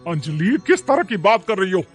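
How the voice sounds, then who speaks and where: Cartoon mother, to be played by a man: high voice, taunting and sarcastic, forever scolding her grown daughter. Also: very animated, normal speed, soft background music. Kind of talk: animated moral story dialogue.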